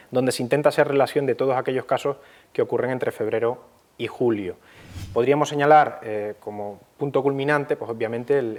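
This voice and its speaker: A man from gives an unscripted historical explanation at a middling pitch. His delivery is measured and didactic. He often hesitates and repeats a word before carrying on. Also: a little mellow. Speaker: history commentator.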